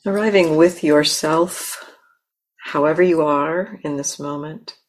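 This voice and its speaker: Female meditation teacher, low register, very slow pacing, gentle delivery with long pauses mid-sentence. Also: low-quality recording. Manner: gentle, unhurried, pausing mid-phrase. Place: meditation center.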